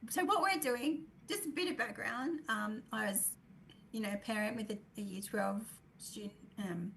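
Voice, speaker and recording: A woman with an Australian accent and a croaky voice from a recent illness, presenting at a forum with many fillers and throat clearing. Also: on the high side, moderate pace, fairly bright tone, slight background noise. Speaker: co-founder of an advocacy group.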